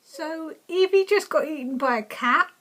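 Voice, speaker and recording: A woman's voice: high voice, normal speed, pushed and bright. A woman giving casual, gushing reactions as she reads through a book, with an enthusiastic way of talking.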